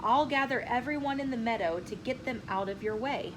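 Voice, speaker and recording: A woman's voice: fairly high pitch, a little fast, natural voice. A woman voicing a commanding, helpful nobleman who organizes crowds in a children's storybook, in a declamatory way. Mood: organizing. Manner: calling out, declamatory. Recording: clearly noisy room with ambient sound.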